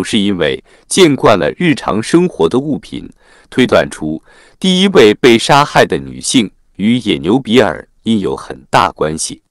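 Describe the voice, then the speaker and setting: A man narrates a scripted plot summary in a low voice. His pitch moves up and down in a lively way while he keeps to formal, written phrasing. The sound is dry and close. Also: no room sound. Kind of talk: scripted narration, film recap.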